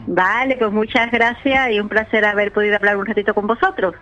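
A woman author from Seville with an Andalusian accent, chatting lively in a radio interview and often laughing. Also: mid-range voice, muffled recording. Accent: Andalusian Spanish from Seville. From Spain. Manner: lively, laughs often, talks in quick bursts. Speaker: children's author, guest.

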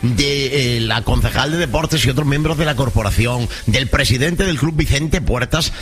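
A man's voice: medium pitch, normal speed, fairly bright tone. Male radio host over music. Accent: Spanish from Spain. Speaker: radio presenter. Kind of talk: live radio hosting with off-the-cuff chat and sponsor reads.

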